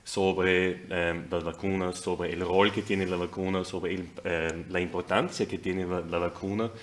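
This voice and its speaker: Deep-voiced Austrian man, halting speech. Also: flat delivery. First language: German. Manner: halting, searching for words in a second language. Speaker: panelist. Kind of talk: panel discussion.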